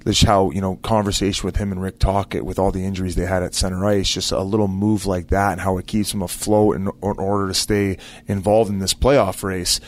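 Guy doing sports analysis, lowish voice, loose and informal, just chatting. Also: little pitch movement, brisk, hardly any pauses. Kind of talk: casual sports chat.

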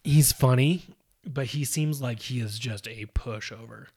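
A man co-hosting a casual podcast recap, animated and sarcastic, slipping into mocking impressions of people. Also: medium pitch, unhurried, breathy edge. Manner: animated, mocks people with put-on quotes. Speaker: podcast co-host. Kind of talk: casual reality-TV recap chat.

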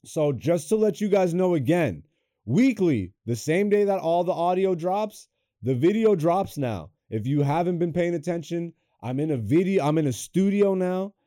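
A young man hosting a comedy podcast in a studio, chatting loosely with lots of swearing, swinging between loud hype and low mumbles. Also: lively intonation, moderate pace, no room sound. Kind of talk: casual podcast chat.

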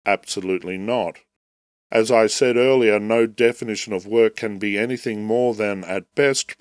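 A man with a fairly low voice, made raspy and nasal by the tail end of a head cold. He hosts a podcast and reads a prepared essay on theology in a slow, formal, deliberate way. The recording is close and dry, with no room sound. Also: no echo. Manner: measured, formal, deliberate delivery. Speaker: podcast host.

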